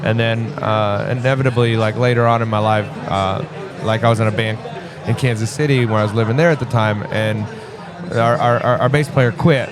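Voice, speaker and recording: A man in his forties talks at an ordinary pitch for a man, easygoing and full of small restarts as he tells his story. He was born in Vietnam and raised in the US Midwest. A lively crowd carries on clearly behind him outdoors. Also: brisk. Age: 40s. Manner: relaxed, rambling, many restarts and fillers. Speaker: guest musician. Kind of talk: casual interview chat.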